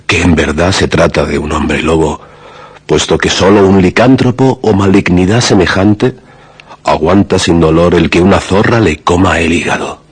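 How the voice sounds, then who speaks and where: Grave servant of the king, very raspy low voice, formal, old-style radio play. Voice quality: husky. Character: grave royal steward reporting to his king. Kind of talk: radio drama acting.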